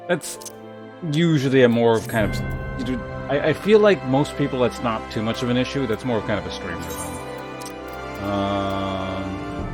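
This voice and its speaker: Male game streamer, lowish voice, wry and rambling, off-the-cuff chat, light music in background. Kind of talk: casual livestream chat and game commentary.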